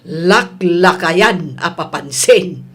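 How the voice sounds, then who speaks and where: A woman's voice: fairly low pitch, lively intonation, very slow, slightly rough, exaggerated voice. A woman playing a touchy, grumbling older mother in a comedy radio drama. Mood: annoyed.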